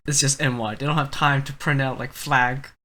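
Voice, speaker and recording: A man's voice: mid-range voice, level tone, normal speed, pushed and bright. A young man co-hosting a podcast with teasing, joking banter. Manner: teasing, jokey. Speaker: podcast co-host.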